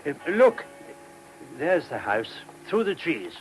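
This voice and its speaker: A man's voice: on the high side, very animated, slow pace, soft background music. A man playing an anxious, courteous monk in a radio play, hesitant and apologetic in manner. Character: anxious, courteous monk guiding a police inspector. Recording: noisy old radio recording.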